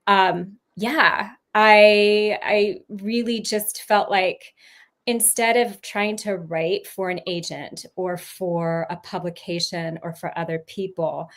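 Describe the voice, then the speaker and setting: A woman's voice: mid-range voice, fairly even intonation, slow. Rambling woman author on a video call. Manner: rambling, lots of ums, laughs mid-sentence. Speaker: former teacher. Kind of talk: casual livestream chat.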